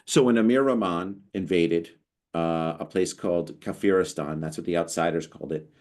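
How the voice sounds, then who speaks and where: American guy with a real low voice, chatty podcast co-host, keeps restarting mid-story. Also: normal speed. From United States. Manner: animated, storytelling, lots of restarts. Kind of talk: casual conversation.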